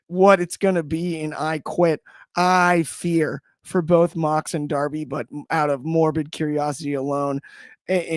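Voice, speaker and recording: A man's voice: a little high, no echo, studio quality. A man co-hosting a podcast, chatting casually about sport in an emphatic, jokey, slangy way. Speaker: podcast co-host. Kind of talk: animated casual sports chat.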